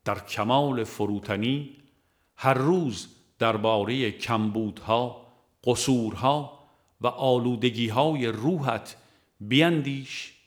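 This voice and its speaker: A man reading a devotional audiobook in a measured, solemn, formal literary manner. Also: mid-range voice. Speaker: audiobook reader. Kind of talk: scripted narration of a devotional text.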